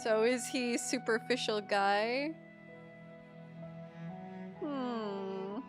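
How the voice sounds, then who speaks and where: High-voiced, unhurried woman game streamer. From Philippines. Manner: reactive, playful, short exclamations. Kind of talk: casual live gameplay commentary. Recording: game music behind the voice.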